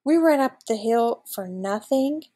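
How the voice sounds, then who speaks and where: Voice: mid-range voice, lively intonation, measured pace, mellow. Woman voicing anxious, scolding storybook villagers. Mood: weary, scolding.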